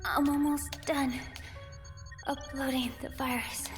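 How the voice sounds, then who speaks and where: A frail young woman, high and breathy, speaking slowly as if fading. It is tender game drama acting in long reverb. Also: flat delivery. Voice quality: weak, breathy. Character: frail, dying young girl, gentle and weak. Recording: long reverb with music behind.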